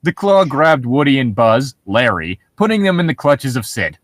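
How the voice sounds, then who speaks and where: A man's voice: mid-range voice, very animated, moderate pace, no room sound, studio quality. A man co-hosting a show, reading stories aloud and breaking off for wry, nitpicking comments in a casual way. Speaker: podcast co-host reading and mocking internet horror stories.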